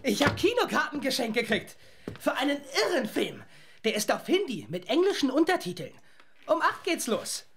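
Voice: sits high, very animated, moderate pace, background noise. A performer playing an enthusiastic, easygoing young boy in a children's radio play, eager and casual. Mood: excited.

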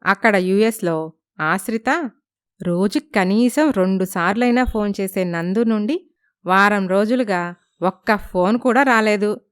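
Female narrator, smooth and clear, mid pitch, scripted storytelling. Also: measured pace, dry recording, studio quality.

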